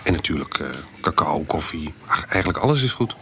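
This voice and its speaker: An actor playing a sly, shabby swindler in a Dutch radio play, wry and persuasive with a slightly hesitant delivery. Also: male voice, extremely low pitch, expressive, brisk. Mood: wry, scheming. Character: sly, shabby male swindler pitching a shady trade. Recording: noisy radio play recording.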